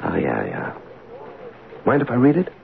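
A fatherly detective in his fifties with a very low voice that swings widely in pitch. He talks in a wry, hesitant, folksy way, as in vintage radio drama, through a narrow old broadcast sound. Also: huge pitch swings, moderate pace, vocal fry, heavily acted. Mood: gentle, hesitant. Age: 50s. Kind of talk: old-time radio drama acting with first-person narration. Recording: band-limited, hissy old radio recording.

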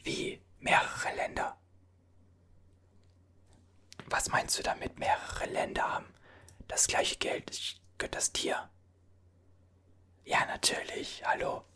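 A performer whispering as a bewildered time traveler from an older era, puzzling over strange objects in a halting voice full of restarts. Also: bright, forceful tone. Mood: puzzled. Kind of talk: whispered ASMR roleplay.

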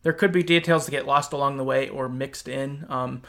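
A man's voice: mid-range voice, steady tone, moderate pace, gravelly, a bit bright. A man hosting a podcast, recapping a video game's story in an informal, conversational storytelling way. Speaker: podcast host. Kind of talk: casual chat, recapping a video game story.

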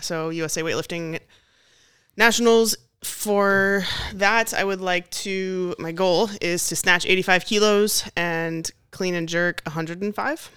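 A woman's voice: lowish voice, measured pace, a bit bright, clean tone, dry recording, clean studio sound. A woman guest on a casual podcast, talking candidly and thinking aloud about her training. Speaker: podcast guest, competitive weightlifter.

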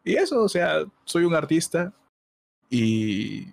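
A young man in his early twenties speaks with a Peruvian accent that has picked up some Mexican flavor. He talks in a relaxed, slangy way and laughs easily, like a guest on a casual stream chat. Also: a little high, slow, vocal fry. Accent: Peruvian Spanish with Mexican touches. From Peru. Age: 20s. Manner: laid-back, laughs often, uses slang. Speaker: guest, illustrator.

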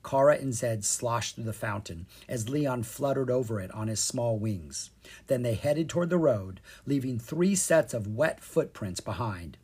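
This is a storyteller reading a children's book aloud. The delivery is expressive and full of lively pitch movement. The voice has a slightly husky grain. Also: unhurried. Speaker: storyteller, podcast reader. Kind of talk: scripted narration of a children's storybook.

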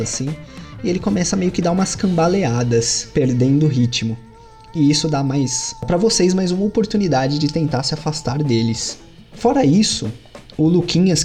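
A Brazilian man narrates a tabletop game as its game master, in a mellow, relaxed voice of middle pitch. He tells the scene with animated jumps in pitch, and faint music plays behind him. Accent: Brazilian Portuguese. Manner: animated storytelling with sudden lifts in pitch.

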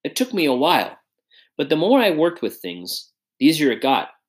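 A man's voice: fairly high pitch, wildly swooping pitch, moderate pace. A man voicing a shy, earnest young inventor who speaks modestly.

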